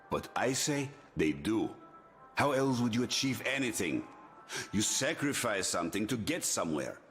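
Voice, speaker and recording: An actor playing a middle-aged military commander in a game drama, chummy and persuasive but ruthless and used to giving orders. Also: male voice, medium pitch, fairly bright tone. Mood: firm, persuasive. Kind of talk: dramatic video game cutscene acting.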